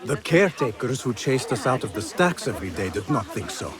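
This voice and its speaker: An actor playing a purposeful young assassin, wry and observant, in a video game. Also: male voice, mid-range voice, very animated, fairly quick, crowd noise, natural voice. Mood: pensive, reserved.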